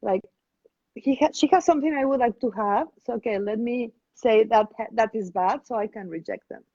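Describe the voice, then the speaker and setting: A woman from Mexico with a Mexican accent, a guest in a casual conversation, pausing to search for her words. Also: fairly high pitch, mellow, dry recording, muffled recording. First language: Spanish. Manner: thoughtful, searching for words with fillers.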